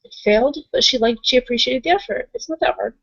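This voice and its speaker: A woman's voice: on the high side, sing-song, quick pace, vocal fry, husky. A woman roleplaying and narrating in a tabletop session, speaking casually and bluntly. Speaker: game master in a roleplaying session. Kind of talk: improvised in-character roleplay with narration. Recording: dull lo-fi recording.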